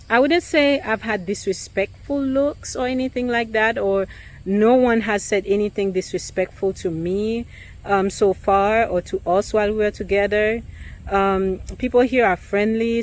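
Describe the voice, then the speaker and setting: A woman in her thirties with a noticeable accent, a realtor talking casually to camera in an earnest, reflective way, pausing often with fillers. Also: mid-range voice, a little mellow, poor audio. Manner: hesitant, many filler pauses.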